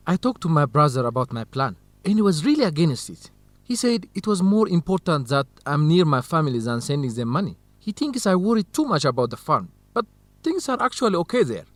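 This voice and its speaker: A man's voice: fairly high pitch, dry recording. An actor playing an earnest young male university student in love, speaking carefully in a radio drama for English learners.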